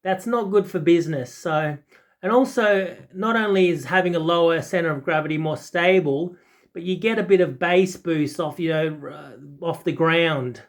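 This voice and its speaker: A man's voice: on the high side, moderate pace. A man in his forties giving a casual, unscripted product talk, rambling and often hesitating or correcting himself. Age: 40s. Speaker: audiophile video host.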